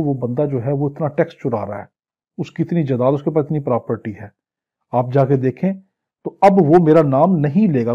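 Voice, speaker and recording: Husky Pakistani man lecturing. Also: on the high side. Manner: didactic, translating phrase by phrase, with acted-out examples. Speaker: law teacher. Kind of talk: exam-prep lecture, reading legal text and explaining it. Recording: dull, lo-fi lecture audio.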